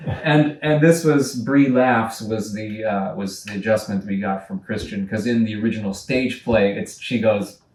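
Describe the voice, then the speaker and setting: A man giving informal director's notes to actors, halting and thinking aloud as he goes, sometimes acting out sample lines. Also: lowish voice, a little mellow. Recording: roomy, distant mic, faint music.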